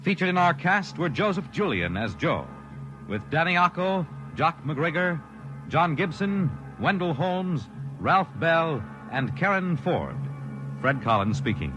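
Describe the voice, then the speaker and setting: A man's voice: a little high, big pitch swings, unhurried, lo-fi sound. An American man announcing credits in a formal, polished 1950s radio style. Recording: vintage radio broadcast, faint music behind. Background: faint music.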